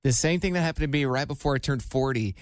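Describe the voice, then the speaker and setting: A man's voice: medium pitch, fast talker, dry recording. Teasing man in his forties, dry close sound. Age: 40s. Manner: teasing, playful. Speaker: radio show host. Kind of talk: casual banter.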